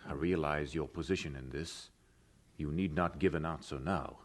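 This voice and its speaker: A man playing a dignified elderly bishop in an audio drama, speaking formally and deliberately with benevolent authority. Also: extremely low pitch. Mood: stately approval.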